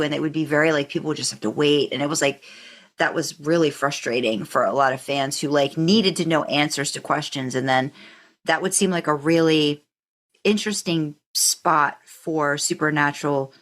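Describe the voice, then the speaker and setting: A woman's voice: sits low, fairly bright tone. A woman hosting a casual conversational interview, informal and thinking aloud as she goes. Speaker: podcast host, interviewer.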